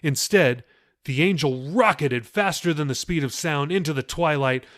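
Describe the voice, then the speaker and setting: A man's voice: a little high, normal speed, fairly bright tone, dry recording, studio quality. A man reading his own novel aloud as an audiobook, with dramatic shifts in pace. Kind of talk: audiobook narration.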